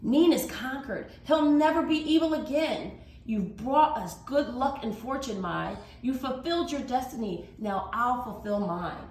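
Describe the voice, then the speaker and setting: A woman's voice: fairly high pitch, animated, a little slow, a little mellow, far from the mic, voice pushed up. A woman voicing a solemn, proud magical warrior in a storybook, making emphatic declarations. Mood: triumphant. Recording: roomy echo, faint background noise.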